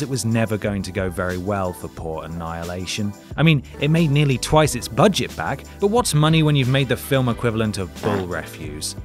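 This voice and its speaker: A man with a British accent reading a scripted countdown as host, sardonic and mock-dramatic with dry asides. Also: medium pitch. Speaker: video host narrator. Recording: voice-over with music behind. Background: music.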